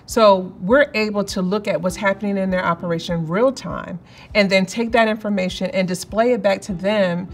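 A middle-aged woman in a corporate interview, explaining in a measured way with some fillers. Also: mid-range voice, steady tone. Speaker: company representative. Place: office interview set, indoors.

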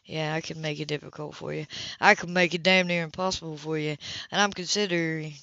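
Audiobook role: blunt, challenging man read by a woman, sardonic and guarded, lowered pitch. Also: fairly even intonation, brisk, gravelly, sharp tone, no echo, dull audio, exaggerated voice. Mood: hard, warning. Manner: terse, challenging.

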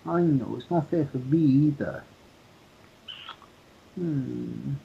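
A man playing a gentle, consoling boyfriend in a couple roleplay. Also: mid-range voice, slow, creaky. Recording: dull playback with faint noise.

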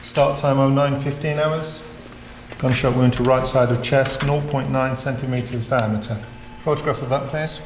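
An actor playing a matter-of-fact pathologist, clinical and detached, in a public information film. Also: male voice, mid-range voice, steady tone, normal speed, close to an everyday voice. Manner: clinical, detached, chatting casually while working. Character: matter-of-fact male pathologist performing an autopsy. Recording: echoey hard-walled room, loud background noise.

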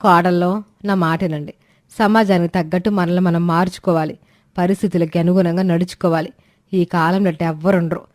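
A woman acts a devoted village wife from a storybook cartoon, low-pitched for a woman. Her talk is homely and plain, recorded dry. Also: steady tone, fairly quick, a little mellow, no room sound, close to an everyday voice. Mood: advising. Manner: homely, colloquial. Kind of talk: animated moral-story dialogue.

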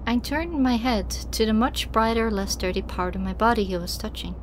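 A woman reading scripted first-person fiction as an audiobook narrator, in an expressive, dramatic way. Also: on the high side, normal speed, slight background noise.

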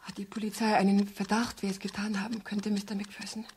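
A woman's voice: medium pitch, flat delivery, brisk, darkish tone, natural voice. An actress playing a reserved, evasive young woman in a 1970s German radio crime drama, polite and hesitant. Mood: troubled. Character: reserved, evasive young female client, bereaved yet composed. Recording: faint hiss of 1970s radio production.

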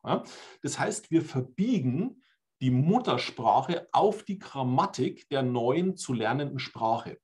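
Forties German male presenter, mid-pitched, webcam talk, explanatory and chatty with tag questions. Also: a little mellow. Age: 40s. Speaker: language trainer. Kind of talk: online conference presentation. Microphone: webcam on video call.

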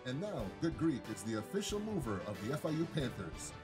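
A man pitching a moving company in an energetic local TV ad. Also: fairly quick, music in the background. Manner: energetic salesy delivery. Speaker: ad spokesman.

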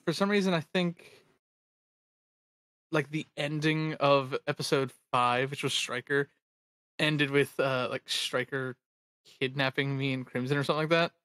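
A woman's voice: very deep voice, unhurried, fairly bright tone, no room sound. A woman co-hosting a casual livestream, talking tentatively as if thinking aloud. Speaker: stream co-host.

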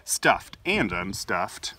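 A man's voice: low voice, big pitch swings, drawn-out words, bright, forceful tone. Storytime man, slightly distant outdoor recording. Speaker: library storytime host. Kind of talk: expressive picture-book reading for children. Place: outdoors in a garden. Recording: distant mic, faint outdoor noise.

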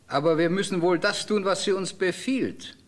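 An actor playing a grumbling but obedient servant in a fairy-tale audio play. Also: male voice, high voice, normal speed, natural voice. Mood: grudging.

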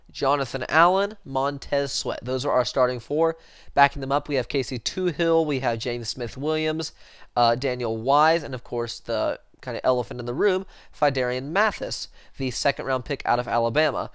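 A man's voice: mid-range voice, a bit bright, clean tone, no room sound, studio quality. A young man with a head cold and a slightly nasal voice, hosting casual football commentary on his channel in a rambling way full of fillers, recorded in a small room on a headset mic. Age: young adult. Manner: rambling, self-correcting, lots of fillers. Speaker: sports channel host. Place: small room in front of a sports-print backdrop.

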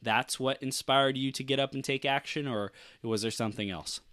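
A man hosting a video call interview, encouraging and quick to agree, circling back to rephrase his questions. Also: mid-range voice, fairly even intonation, fairly bright tone, clean tone, dry recording. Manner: encouraging, backchannels often, restarts questions. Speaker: podcast host. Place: small office indoors. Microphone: close desk mic on video call.

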